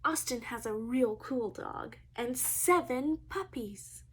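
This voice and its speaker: Female preschool teacher for storybook reading. High-pitched, unhurried, expressive, talks straight to small children. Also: husky. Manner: expressive, addressing young children directly.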